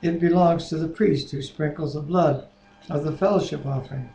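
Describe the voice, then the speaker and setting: Older man, soft mellow tone set a little high, scripture reading and discussion, roomy webcam sound. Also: steady tone, normal speed, gravelly. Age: 60s or older. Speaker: Bible reader and discussion co-host. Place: living room at home. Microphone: computer webcam mic at desk distance. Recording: roomy, echoey home webcam.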